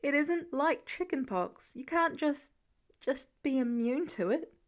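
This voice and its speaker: A woman voicing a frightened, guilt-ridden teenage boy with a halting, pleading manner in an audiobook story. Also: high-pitched, expressive, voice pushed up. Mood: shaken disbelief.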